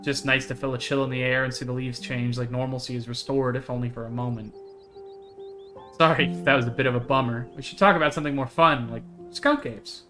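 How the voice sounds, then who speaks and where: A man's voice: medium pitch, fairly even intonation, fairly quick, lightly acted. A man voicing a chatty, earnest young woman who hunts cryptids in a visual novel, with a folksy, eager way of talking. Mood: chatty, wistful. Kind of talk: visual novel dialogue read aloud. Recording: music behind the voice.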